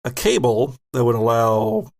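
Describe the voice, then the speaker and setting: A man acting as game master of a tabletop roleplaying game, calling for rolls and describing what the players see in a steady, directive way. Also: medium pitch, measured pace, creaky.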